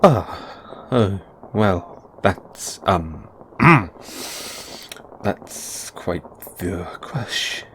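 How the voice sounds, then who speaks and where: A man's voice: sits low, a little hiss or hum. A man playing a kindly middle-aged father in an audio roleplay, nervous, awkward and stammering. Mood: flustered.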